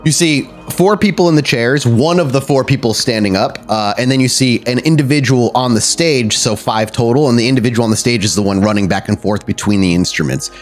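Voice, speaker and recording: A man's voice: mid-range voice, a little fast, hardly any pauses, soft background music. A man narrating a tabletop game as game master, descriptive and conversational, improvising as he goes.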